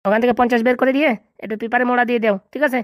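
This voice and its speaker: A man's voice: very high-pitched, fairly even intonation, racing, no echo, clean studio sound. A man voicing a vengeful, bossy corrupt local politician in a comedy cartoon, boastful and insistent. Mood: bossy, scheming. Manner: bossy, boastful, repeatedly checking he is understood.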